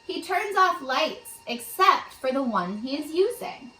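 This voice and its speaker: A woman reading a children's story aloud in an expressive, teacherly manner. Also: sits high, a little slow, reverberant, low-quality recording. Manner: expressive, asks the young listeners questions.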